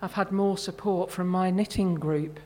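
A woman's voice: lowish voice, steady tone, moderate pace, a little mellow, lightly acted. A woman voicing an elderly, long-married mother, proud and plain-spoken, in a short story reading. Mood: indignant.

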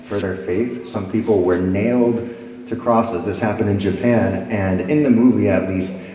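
A man's voice: lowish voice, steady tone, measured pace, warm tone, slight background noise. A man preaching a sermon to a congregation, reading scripture dramatically and letting his voice swell and fall. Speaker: pastor. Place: church sanctuary before a congregation. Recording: echoey hall with long reverb.

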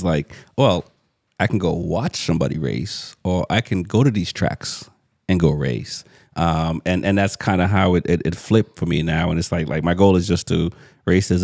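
A man's voice: low-pitched, vocal fry, a little mellow, dry recording, studio quality. A man hosting a casual chat show, talking in a rambling, repetitive way and laughing easily. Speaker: show host.

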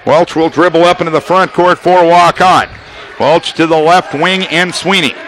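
A man calling basketball play-by-play for radio, his voice high and bright as he tracks each pass, the score and the clock. Faint arena noise sits behind him. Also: steady tone, normal speed, rough recording. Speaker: play-by-play announcer.

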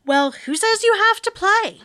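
A woman acts an exasperated sitcom wife. Her voice is very high and piercingly bright, with lively swings in pitch. She is sharp-tongued, in broad table-read comedy style. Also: a little slow, heavily acted.

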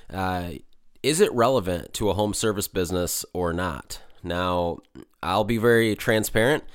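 Slightly hoarse man hosting a podcast. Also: fairly low pitch, no echo, clean studio sound. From Michigan. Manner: casual and rambling, many fillers and restarts. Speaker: podcast host. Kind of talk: solo podcast talk.

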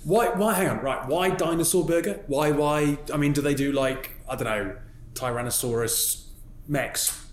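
A man's voice: on the high side, moderate pace, reverberant. A man from the northeast of England hosting a podcast, chatting casually and interviewing a guest with dry, wry banter. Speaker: podcast host.

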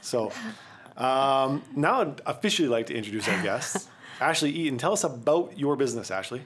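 An easygoing man hosts a casual podcast, his voice sitting at an ordinary middle pitch. He often laughs as he talks. A faint room hum runs behind him. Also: sing-song, moderate pace, a bit bright. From Quebec, Canada. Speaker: podcast host. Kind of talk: casual chat.

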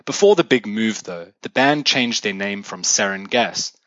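A South African man with a South African accent hosting a music podcast, delivering scripted commentary in a measured, lightly wry way. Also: fairly low pitch, lively intonation, fairly bright tone, no room sound. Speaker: podcast host. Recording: dull lo-fi dry recording.

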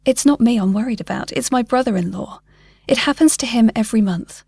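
A woman's voice: fairly high pitch, a little fast, creaky, darkish tone, husky, no room sound. A woman voicing a worried, skeptical man looking for answers in a short story, guarded and questioning. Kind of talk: short story character dialogue.

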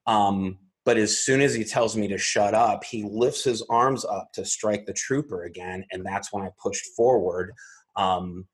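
A man with a husky voice, an interview guest recounting events methodically, step by step, with frequent pauses. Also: fairly low pitch. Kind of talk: recounting a personal incident in an interview.

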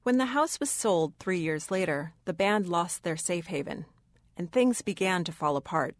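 A woman's voice: lowish voice, expressive, moderate pace, no room sound, studio quality. A woman radio reporter reading scripted narration for a feature story.

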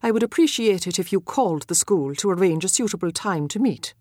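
An actress reading a letter as a courteous, formal schoolteacher with measured wording. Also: female voice, medium pitch, animated, darkish tone, dry recording, clean studio sound, close to an everyday voice. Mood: formal, polite. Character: formal, courteous female schoolteacher writing to parents.

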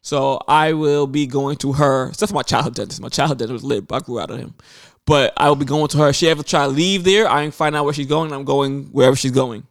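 A man's voice: mid-range voice, quick pace, vocal fry, no echo. Chatty man rambling in a dry studio. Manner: rambling, animated storytelling with quoted voices. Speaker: podcast co-host. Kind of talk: casual podcast chat.

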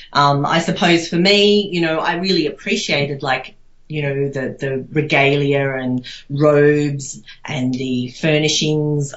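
Aussie woman chatting in an interview, low voice, rambly, echoey room. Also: fairly bright tone. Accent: Australian. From Australia. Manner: rambling, conversational, many "you know"s. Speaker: interview guest. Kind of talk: casual reflective interview answers. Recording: echoey room, slightly dull sound.

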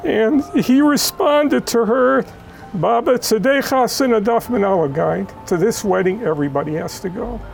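American woman, interview guest, tearful and halting personal testimony, gravelly edge, faint music behind. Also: a little high, darkish tone, poor audio. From born in Israel, raised in Los Angeles. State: tearful, emotional. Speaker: interview guest, child of Holocaust survivors.

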